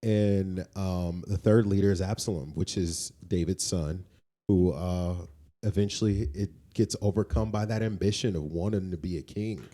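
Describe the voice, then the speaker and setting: A man's voice: sits low, fairly even intonation, normal speed, dark tone. A man co-hosting a podcast, talking conversationally in an opinionated, explanatory way and often checking in with the listener. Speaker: podcast co-host.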